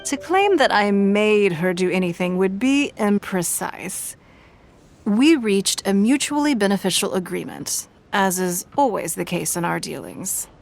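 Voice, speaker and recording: An actress playing a poised, shrewd, wealthy stateswoman in a video game, with refined, measured, formal speech. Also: medium pitch, lively intonation, creaky, faint music behind.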